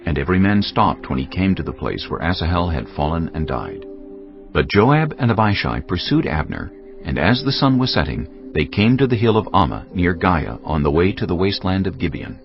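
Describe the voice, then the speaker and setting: A man's voice: sits low, sing-song, normal speed. A man narrating a dramatized Bible as a scripted storytelling reading. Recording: dull lo-fi audio with music behind. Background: faint music.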